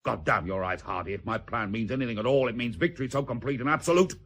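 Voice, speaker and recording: An actor playing a fiery, vain British admiral with an emphatic, explosive manner, in a radio drama. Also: male voice, medium pitch, fast talker, fairly bright tone. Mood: intense, proud.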